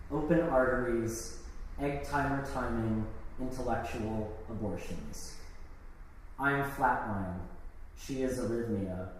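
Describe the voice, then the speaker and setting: A man's voice: medium pitch, darkish tone. A man giving a live poetry reading of his own work, with casual introductions between pieces. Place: live event in an art gallery. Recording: echoey, noisy hall, distant microphone.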